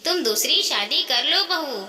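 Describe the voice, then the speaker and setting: Woman narrator as a high-voiced caring elderly mother-in-law. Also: expressive, measured pace, sharp tone, full character voice. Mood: earnest, motherly. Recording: echoey, reverberant hall-like sound.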